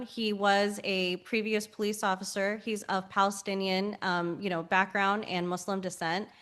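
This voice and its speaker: A woman's voice: medium pitch, fairly bright tone. Earnest woman hosting an interview. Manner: earnest, many fillers. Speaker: podcast host.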